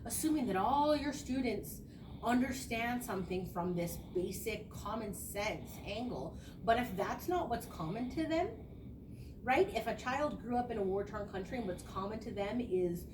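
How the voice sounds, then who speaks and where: A young adult woman, a student teacher, giving an earnest, conversational spoken reflection and explaining her ideas. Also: a little high. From small rural town. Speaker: education student. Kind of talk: spoken reflective class presentation. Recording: echoey room, distant mic, noisy.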